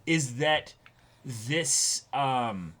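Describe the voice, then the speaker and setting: A young man co-hosting a casual, analytical discussion, haltingly thinking aloud as he goes. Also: medium pitch, lively intonation, a bit bright. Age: young adult. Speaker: reaction show co-host. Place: small indoor recording setup, seated before a backdrop.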